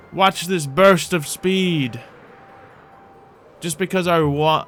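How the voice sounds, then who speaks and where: A man's voice: a little high, pushed and bright. Theatrical male game streamer over music. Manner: theatrical, whimsical, rambling, talks to the audience. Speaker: livestreamer hosting a Minecraft roleplay. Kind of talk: in-character gameplay commentary, chatting with viewers.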